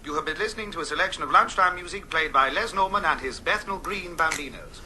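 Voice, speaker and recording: Formal 1940s announcer, high, very sharp tone, old radio sound. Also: male voice, fast, slight background noise. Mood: formal, bright.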